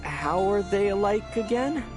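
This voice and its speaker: A woman playing a young male traveler hero in a video game, calm, dry and gently teasing. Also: medium pitch, unhurried, loud music behind the voice, close to an everyday voice. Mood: dry, curious.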